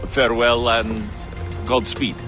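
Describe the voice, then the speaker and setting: An actor playing a dignified, courteous eighteenth-century naval captain in a video game, formal and hearty. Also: male voice, medium pitch, little pitch movement, takes it slowly, lightly acted. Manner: formal, hearty, old-fashioned. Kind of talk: dramatic video game acting. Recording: clear music under the voice.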